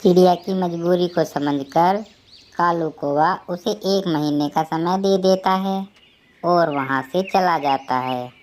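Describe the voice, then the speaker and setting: A woman narrates a children's story in a low voice. Her scripted delivery is very slow, and faint birdsong sits behind her. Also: darkish tone, slight background noise.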